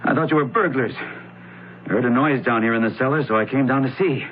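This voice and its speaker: A man's voice: mid-range voice, brisk, slightly rough, close to an everyday voice. A man playing an irritable, evasive wealthy heir under suspicion in a radio mystery, guarded and testy. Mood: defensive. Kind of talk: radio mystery drama acting. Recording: muffled, faintly noisy old radio broadcast.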